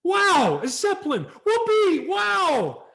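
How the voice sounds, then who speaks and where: Shrieking excitable little boy. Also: extremely high pitch, slow pace, vocal fry, pitched above the performer's own voice, heavily acted. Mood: ecstatic. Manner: shrieking, whooping, whiny. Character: excited little kid brother with a new toy.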